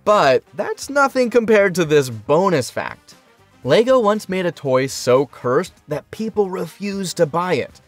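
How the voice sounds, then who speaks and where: A man's voice: high-pitched, very animated, unhurried, no room sound. A man reading a scripted countdown narration with wry, punchy, sarcastic asides. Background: faint background music.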